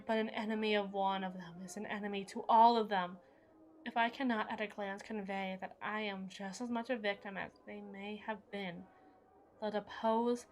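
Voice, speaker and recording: A woman's voice: mid-range voice, close to an everyday voice. A woman playing an unstable, brooding evil queen in an intense, theatrical audio roleplay, speaking in formal, dramatic monologue. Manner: theatrical, formal, intense, swinging between hushed and forceful.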